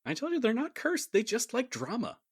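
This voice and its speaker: A man's voice: extremely high pitch, huge pitch swings, fairly quick, husky, dry recording, studio quality. A man running a tabletop game as game master, narrating and bantering casually.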